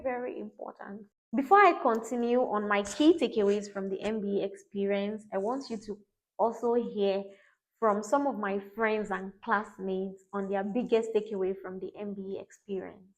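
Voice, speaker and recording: A woman's voice: mid-range voice, moderate pace, low-quality recording. A woman hosting her own podcast, giving an earnest, encouraging reflective talk with emphatic repetition. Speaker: podcast host.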